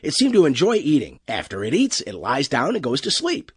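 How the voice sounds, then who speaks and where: A man plays an anxious, deferential crewman in a science fiction story. The voice is pitched up and lively, apologetic and keen to explain. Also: fairly quick, husky, no room sound, clean studio sound, full character voice. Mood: eager, deferential. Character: nervous, deferential young crewman.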